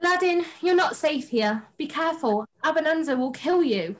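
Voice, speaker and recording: A woman's voice: high-pitched, expressive, normal speed, slight background noise. A young woman playing a sweet, melodramatic princess in an amateur pantomime read-through on a video call. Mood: anxious. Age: young adult.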